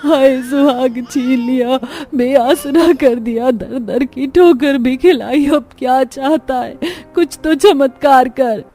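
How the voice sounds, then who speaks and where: A woman's voice: high-pitched, slow pace, hardly any pauses, dark tone, faint music behind, pitched above the performer's own voice, exaggerated voice. A woman voicing a poor, desperate widowed mother in a cartoon story, plaintive and imploring. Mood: anguished prayer. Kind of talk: melodramatic Hindi cartoon story acting.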